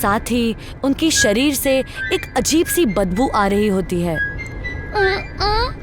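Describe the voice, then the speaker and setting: A woman narrates a scripted horror story. Her voice is slightly high. Music runs clearly underneath her. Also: expressive, slow pace.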